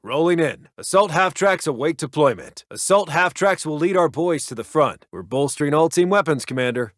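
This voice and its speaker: An American wartime intelligence officer for a strategy game. His voice sits slightly high for a man and is recorded very dry. He delivers lines in a clipped, authoritative, by-the-book military manner. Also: lively intonation, normal speed, a bit bright, no room sound. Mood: brisk, official. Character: brisk, commanding male American WWII intelligence officer. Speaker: video game military announcer. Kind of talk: scripted war game unit voicelines.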